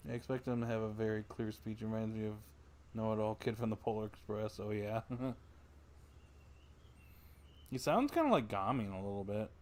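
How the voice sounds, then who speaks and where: Lower-voiced man livestreaming a game. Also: lively intonation, moderate pace, long pauses, a little mellow. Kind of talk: casual game reaction commentary.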